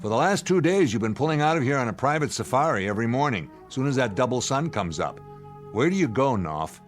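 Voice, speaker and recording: A man playing a weary, suspicious spaceship commander in a radio drama, gruff, curt and sarcastic. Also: medium pitch, sing-song, brisk, soft background music, lightly acted. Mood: confrontational.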